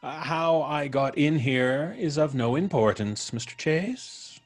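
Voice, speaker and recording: A suave, mysterious male intruder from an old radio thriller. He speaks slowly in a husky voice, with teasing, sweeping inflection and polite menace. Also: fairly high pitch, lively intonation. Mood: mock pleading, teasing. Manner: polite, deliberate, teasing, drawn-out delivery.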